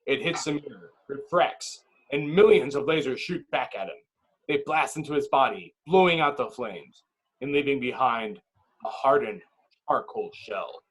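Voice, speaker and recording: A man's voice: medium pitch, normal speed, breathy edge, poor audio. A man reading stage directions as narrator at a table read, in dramatic, clipped phrases.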